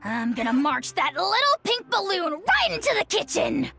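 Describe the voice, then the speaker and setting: A performer voicing a small, grumpy dragon in a game who shouts indignantly. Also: very high-pitched, wildly swooping pitch, normal speed, creaky, heavily acted. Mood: outraged. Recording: game cutscene with music behind.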